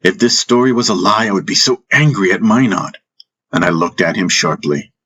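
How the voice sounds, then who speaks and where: A man's voice: sits low, normal speed, a bit bright, slightly rough. A man narrating a scripted fantasy audiobook, with music playing behind his voice. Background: background music.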